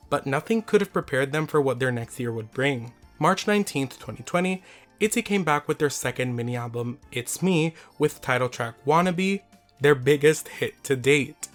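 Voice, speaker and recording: A man hosting a scripted video essay, his voice slightly raspy from losing it, reading with enthusiasm. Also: a little high.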